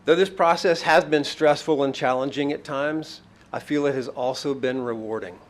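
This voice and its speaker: Man giving measured public comment. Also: mid-range voice. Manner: measured, appreciative. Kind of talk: prepared public statement. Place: county courtroom.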